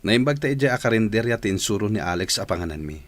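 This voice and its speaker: A man's voice: lowish voice, expressive, fast talker, dry recording, lightly acted. A man with an Ilocano accent playing a courteous, earnest engineer in a radio comedy drama. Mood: friendly. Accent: Ilocano Filipino.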